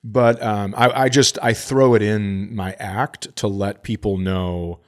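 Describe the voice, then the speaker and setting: A man comedian co-hosting a podcast in casual chat, animated and jumping up in pitch as he tells stories. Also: slow pace. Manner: animated, jumps up in pitch when storytelling.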